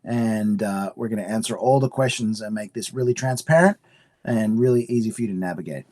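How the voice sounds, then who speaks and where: A middle-aged man, originally from Australia, hosts a live webinar in an upbeat, chatty way, dropping plenty of "um"s and "uh"s as he talks to his viewers. His voice is a little hoarse from a cold. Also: on the low side, fairly quick, darkish tone. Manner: upbeat, chatty, many fillers. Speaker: realtor hosting a webinar.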